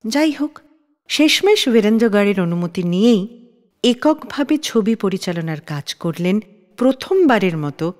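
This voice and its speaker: A woman's voice: medium pitch, very animated, a little slow, darkish tone. A woman telling a scripted story as a radio show host. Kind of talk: scripted radio storytelling narration about film history. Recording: long reverb on the voice.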